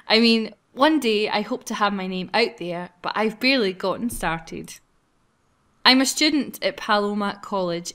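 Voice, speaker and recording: A woman's voice: mid-range voice, a bit bright. A woman hosting a casual group let's play, chatting and reading game text aloud in a playful, giggly way. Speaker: gaming channel host.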